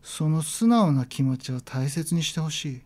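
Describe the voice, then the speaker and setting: Radio drama art teacher played by a man: mellow timbre, casual, probing yet gentle and encouraging. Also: on the high side, lively intonation, moderate pace, close to an everyday voice. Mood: gentle, warm. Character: frank, gentle, encouraging male high school art teacher.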